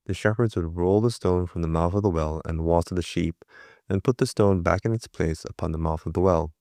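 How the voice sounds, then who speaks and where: A man reading the Bible aloud in a steady, plain way, with small slips and restarts. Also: deep voice, gravelly, no room sound, studio quality. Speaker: scripture reader.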